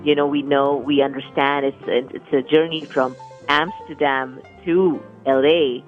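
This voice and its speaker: A woman's voice: deep voice, steady tone, unhurried, phone-quality sound, soft background music. A woman hosting a radio talk show, introducing guests in a chatty way that often restarts. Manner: chatty, repeats words and restarts.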